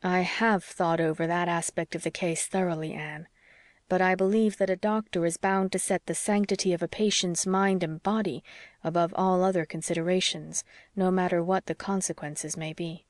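A woman voicing an earnest, stubborn young male doctor who reasons in a measured, formal way, as in an audiobook. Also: fairly low pitch, steady tone, no room sound, clean studio sound, lightly acted. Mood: firm, reasoning. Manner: measured, formal, slightly stiff. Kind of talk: audiobook character dialogue.

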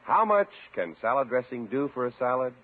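A man's voice: mid-range voice, very animated, a little fast, a little hiss or hum. A man announcing in scripted 1950s radio style, presenting credits and sponsor copy. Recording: muffled 1950s radio, music and audience.

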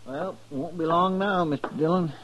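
An actor playing a folksy, earnest frontier deputy with a rural Western American drawl in a 1950s radio western. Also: male voice, a little high, normal speed, fairly bright tone, slight background noise, natural voice, low-quality recording. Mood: worried, earnest. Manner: drawling, homespun, deferential. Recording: old radio drama recording.

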